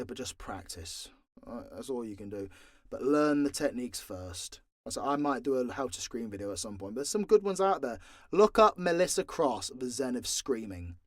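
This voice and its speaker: Higher-pitched man, blunt and sweary. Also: no echo, clean studio sound. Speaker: audio creator answering listener questions. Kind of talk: casual, unscripted Q&A chat.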